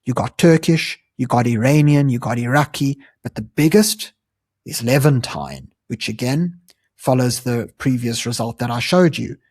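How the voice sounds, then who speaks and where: Husky South African man explaining earnestly. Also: medium pitch, animated, normal speed, a little mellow, no room sound, clean studio sound. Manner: earnest, conversational, frequent fillers. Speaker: channel host. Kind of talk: explanatory commentary to camera.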